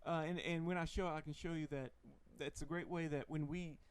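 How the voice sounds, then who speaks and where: Chatty Texan man, slightly high voice. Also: fairly quick, smooth. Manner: relaxed, chatty, laughs often, many fillers. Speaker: host. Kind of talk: casual livestream chat.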